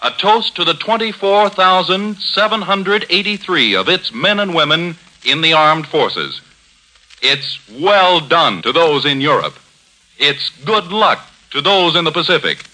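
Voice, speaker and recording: Very sharp-voiced 1940s radio announcer man. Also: a little high, expressive, a little slow, poor audio. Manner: declamatory, formal, emphatic. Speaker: sponsor announcer. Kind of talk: 1940s radio announcing, scripted patriotic message. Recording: vintage 1940s radio broadcast recording.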